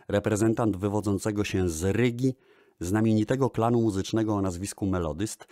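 A man's voice: on the low side, mellow, no room sound, studio quality. A man presenting music history in a radio style, formally and eloquently, addressing his audience politely. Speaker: host presenting archive records.

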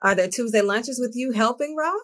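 A middle-aged woman playing a diplomatic but firm school principal in a dramatized meeting, speaking in a measured way on a video call. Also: on the high side, lightly acted. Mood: brisk, concerned.

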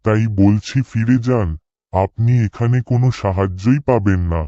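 A man's voice: on the low side, moderate pace, dark tone, dry recording, muffled recording, close to an everyday voice. A man voicing a dutiful, earnest village cremation-ground worker in a horror cartoon. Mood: firm. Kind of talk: cartoon dialogue and spoken thoughts.

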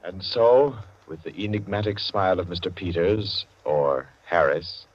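A muffled 1940s radio recording with prominent music behind. A male announcer reads in a low voice, formal and measured. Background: loud dramatic music.

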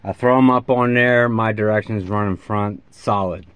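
Man, American accent, forties, lowish voice, casual how-to vlog, emphatic halting phrasing. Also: fairly even intonation, a bit bright, smooth, dry recording. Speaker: radio hobbyist video host. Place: inside a parked SUV.

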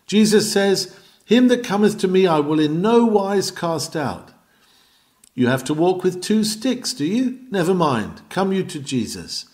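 A man's voice: high-pitched, very animated, unhurried, a little mellow. A man reading an old sermon aloud in an emphatic, exhorting manner, with old-fashioned oratory. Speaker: reader of a historical sermon.

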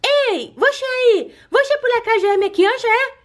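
A woman's voice: very high-pitched, animated, moderate pace. Woman voicing a cheeky greedy little doll girl. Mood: playful. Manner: playful, childlike chatter. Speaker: channel host voicing dolls. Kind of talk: doll play storytelling.

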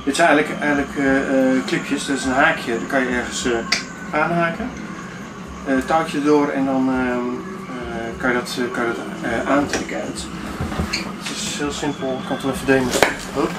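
A man in his fifties talks informally through a practical demonstration, often pausing to find his words. His voice is mid-pitched and recorded from a distance. The small space sounds roomy, with clear noise behind him. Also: steady tone. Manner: hesitant, searching for words. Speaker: sailing vlogger. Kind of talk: informal demonstration talk to camera. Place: inside a sailboat cabin at the navigation desk. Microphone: camera a few steps away.

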